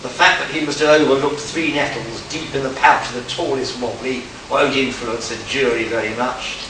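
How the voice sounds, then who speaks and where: A man's voice: mid-range voice, fairly even intonation, normal speed, lightly acted. A man acting a smug, hard-boiled space detective in an amateur live radio play, declaiming and stumbling over the script. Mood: smug, triumphant. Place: live convention stage, indoors. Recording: distant, echoey, noisy hall recording.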